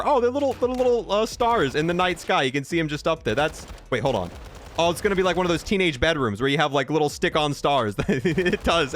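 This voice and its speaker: A man's voice: fairly high pitch, fairly bright tone. A man hosting a video game review, giving casual, informal commentary and thinking aloud as he tests things.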